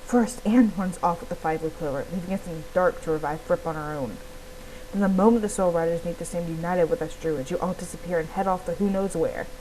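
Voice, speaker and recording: A woman's voice: fairly low pitch, fast talker, warm tone, close to an everyday voice. A woman voicing a stern, indignant male druid from a fantasy game, formal and accusatory, on a noisy recording.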